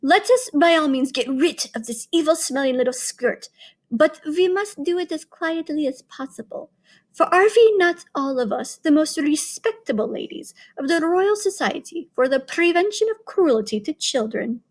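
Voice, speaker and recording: A shrill, imperious witch leader, put on by a woman reading aloud barks out her commands with cold authority. Her voice sits high and cuts through, the tone of someone used to total obedience. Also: lively intonation, moderate pace. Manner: imperious, barking orders.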